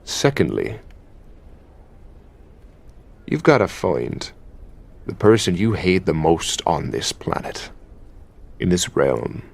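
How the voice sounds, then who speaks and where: A man with an Irish accent playing a possessive, taunting demon lover in an intimate, gravelly ASMR roleplay. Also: low-pitched, darkish tone, heavily acted. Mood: commanding. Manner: commanding, foul-mouthed, taunting, drawn-out delivery. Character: possessive, menacing, teasing male high demon of lust.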